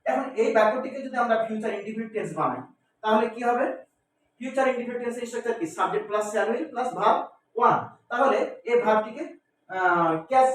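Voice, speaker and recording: A man's voice: very high-pitched, quick pace. A man with a Bengali accent teaching grammar in a didactic, classroom style, repeating phrases, spelling words out and answering his own questions. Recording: echoey room, distant mic, faint noise.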